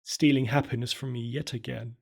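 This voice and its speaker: A man's voice: medium pitch, fairly even intonation, fairly quick, gravelly. A man telling a first-person horror story in a somber, murmuring way.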